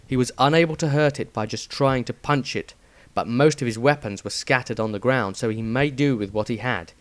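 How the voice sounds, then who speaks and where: A man narrates a fantasy adventure from a script in a dramatic storytelling style. His pitch is middling for a man and the recording is dry, with little room sound. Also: fairly quick, no echo.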